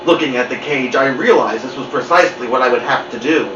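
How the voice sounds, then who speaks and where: A man reading a children's book aloud in an animated storytelling style. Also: mid-range voice, fast, background noise. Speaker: story-time reader. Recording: muffled, roomy, distant mic.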